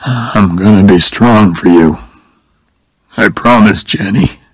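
A man voicing a grieving father, speaking quietly and subdued. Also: on the low side, slow pace, breathy edge, dry recording, exaggerated voice, rough recording.

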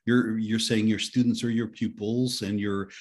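A man's voice: fairly low pitch, flat delivery, normal speed, darkish tone, breathy edge. A man hosting a podcast interview, asking thoughtful, hesitant questions with fillers. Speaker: podcast host.

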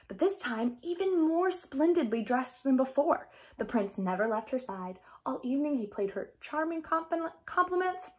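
A young woman reads a children's fairy tale aloud. Her voice sits a little high and swings up and down in an animated way. The delivery is theatrical and expressive. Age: young adult. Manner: theatrical, explanatory asides. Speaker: storybook reader. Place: small indoor room at home.

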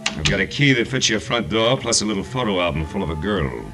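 An actor playing a hard-boiled, wry private detective in 1940s radio noir, terse, tough and dry. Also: male voice, lowish voice, animated, quick pace, over music, natural voice. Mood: businesslike.

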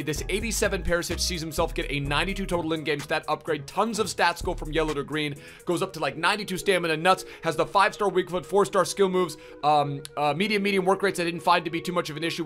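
A young man giving chatty, upbeat commentary on a video game card, his voice a little rough from streaming late, with plenty of fillers, in a small room at a desk mic. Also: a little high, fairly bright tone. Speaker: gaming YouTuber. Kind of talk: casual game review commentary. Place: small indoor room, gaming setup.

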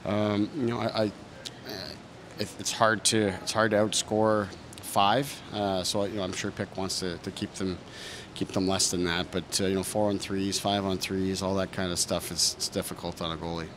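Head coach, thirties, male; low, monotone delivery, measured and restarting; close press mics, noisy room. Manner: measured, thoughtful, frequent fillers and restarts. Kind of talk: post-game press conference answers. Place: press room in front of a sponsor backdrop. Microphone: several reporters' handheld mics close in front.

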